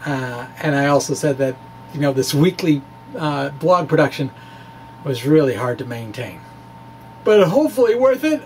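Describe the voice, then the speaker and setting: A man with a high-pitched voice reads a science blog aloud in a folksy, conversational way. He explains haltingly and drops in informal asides. A faint hum and noise sit behind the voice. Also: sing-song, low-quality recording. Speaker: amateur physicist presenter. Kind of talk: dramatic reading of a physics blog, conversational asides.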